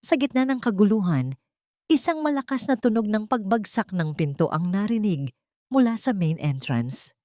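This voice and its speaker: A woman's voice: mid-range voice, animated, moderate pace, mellow, dry recording, clean studio sound. A woman with a Filipino accent reading a dramatic scripted story as its narrator.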